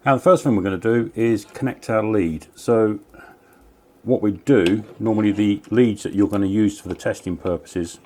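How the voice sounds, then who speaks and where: A man's voice: fairly low pitch, normal speed, a little mellow, a little hiss or hum. A man from southern England, a CB radio hobbyist, chatting casually with radio jargon and walking through a gear demo. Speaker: CB radio hobbyist and video host.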